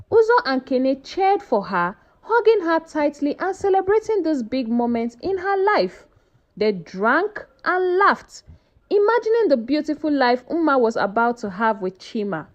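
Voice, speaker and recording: A woman narrating a folktale as a scripted story, with an expressive, dramatic delivery. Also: high-pitched, muffled recording.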